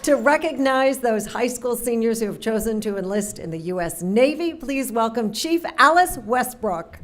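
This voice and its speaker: A woman emcee introduces speakers at a ceremony in a formal announcing voice. Her voice sits a little high, with a faint crowd behind her. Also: animated, normal speed, background noise.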